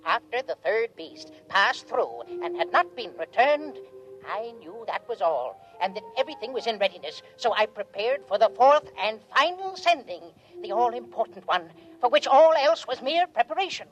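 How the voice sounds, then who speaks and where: A dramatic scheming alien, old 50s radio play, very formal n pleading, voice swoops all over. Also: female voice, on the high side, big pitch swings, normal speed, highs cut off, faint music behind. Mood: smug, scheming. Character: scheming, self-pitying alien writing to an old friend. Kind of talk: 1950s radio acting, scripted narration.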